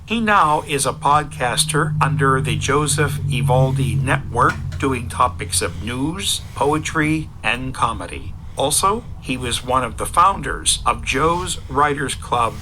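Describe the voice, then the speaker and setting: A man's voice: medium pitch, expressive, a little slow, few pauses, noisy background. A man narrating a scripted author biography.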